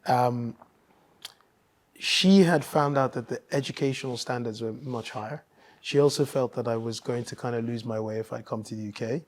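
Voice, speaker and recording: This man grew up in Nigeria and talks as a guest in a relaxed interview. His voice sits mid-range, and he thinks aloud, with frequent ums and restarts as he gathers his points. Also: medium pitch, moderate pace. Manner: thoughtful, with many ums and restarts. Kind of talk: casual interview conversation.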